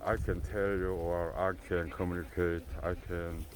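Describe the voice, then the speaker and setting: A Korean man around sixty with a Korean accent, talking casually to camera in halting English, often pausing and restarting. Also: sits low, level tone. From South Korea. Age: 60s. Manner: halting, searching for words, many pauses and restarts. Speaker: goat farmer vlogger. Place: outdoors on a goat farm. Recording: noisy outdoor recording with goats. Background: goats bleating.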